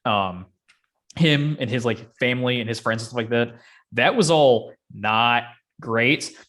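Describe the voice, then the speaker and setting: A man's voice: mid-range voice, unhurried, a bit bright. Casual, rambling man chatting about movies. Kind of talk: casual chat about films and games.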